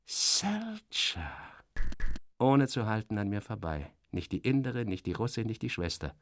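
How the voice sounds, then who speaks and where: A man's voice: lowish voice, wildly swooping pitch, unhurried, heavily acted. An actor playing a sardonic, weary male journalist who narrates his own journey in a radio play, theatrically shifting between muttering and exclaiming. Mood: musing, wistful. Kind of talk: radio play first-person narration with acted dialogue.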